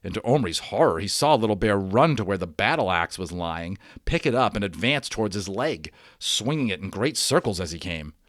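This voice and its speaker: Male storybook reader, lowish pitch, highly expressive intonation, theatrical, informal asides. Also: brisk, few pauses, no echo, studio quality. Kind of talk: dramatic read-aloud narration of a children's novel.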